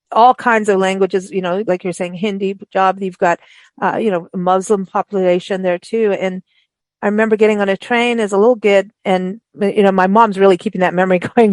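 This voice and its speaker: A woman radio host raised in Africa chats with her guest in a loose, animated way, often starting over mid-sentence. Her voice sits at an everyday middle pitch. The recording is close and dry, with no room sound at all. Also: no room sound. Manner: chatty and animated, with frequent laughs and restarts. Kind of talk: casual interview chat.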